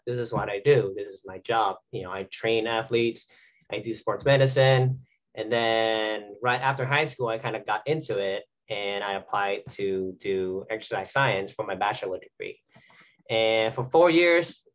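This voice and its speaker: A man's voice: lowish voice, lo-fi sound. A young man from Vietnam, a graduate student guest, talking earnestly and at length with many fillers over a video call. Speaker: guest, athletic training student. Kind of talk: casual remote interview.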